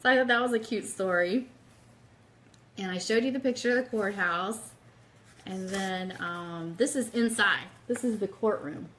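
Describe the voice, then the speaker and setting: A woman's voice: medium pitch, moderate pace, fairly bright tone, a little hiss or hum. A woman with a mid-range voice talks as the host of a casual livestream show. She is chatty and enthusiastic, speaking directly to her followers in an informal, exclaiming way. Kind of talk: casual livestream chat with book read aloud.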